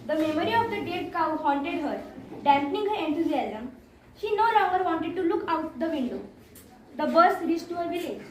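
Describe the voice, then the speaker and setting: A woman's voice: very high-pitched, expressive, moderate pace. A young woman with an Indian accent reading scripted story narration. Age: young adult. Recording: very echoey noisy room, distant mic.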